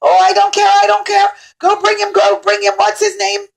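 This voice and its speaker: A woman voicing an excitable, anxious king in a children's puppet show, exclaiming and wailing dramatically. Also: very high-pitched, moderate pace, vocal fry, pitched above the performer's own voice, exaggerated voice, rough recording. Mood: impatient, eager. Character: excitable, anxious old-time king troubled by his dreams.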